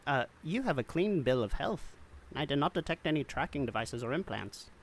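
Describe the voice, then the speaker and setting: A man's voice: medium pitch, expressive, a little fast. A man voicing a polite medical droid in a sci-fi table read, giving clinical pronouncements over noisy call audio.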